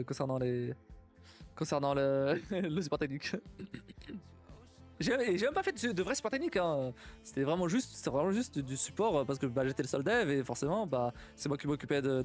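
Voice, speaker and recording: A young man streaming casually and reacting to stories, talking in a halting way, repeating words and laughing often. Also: medium pitch, a little mellow.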